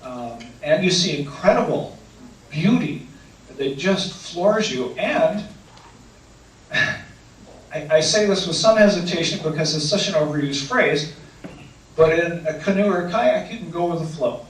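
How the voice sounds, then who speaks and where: A man's voice: a little high, expressive, a little slow, pauses often, distant mic. A man who leads canoe and kayak trips, answering audience questions in an earnest, hesitant way and restating each question before he answers. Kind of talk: talk with audience Q&A. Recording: echoey room, speaker away from mic, faint noise.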